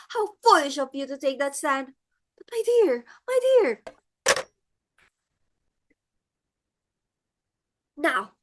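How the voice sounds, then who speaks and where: A woman playing a flustered, imperious society mother in a stage comedy, with emphatic, theatrical exclamations. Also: high-pitched. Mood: gushing, insistent. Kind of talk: comedic stage-play acting.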